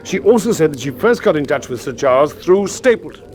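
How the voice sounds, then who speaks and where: An actor playing an earnest, loyal detective's sidekick with an English accent, exclamatory in the manner of British radio drama. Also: male voice, fairly high pitch, sing-song, fairly quick, darkish tone.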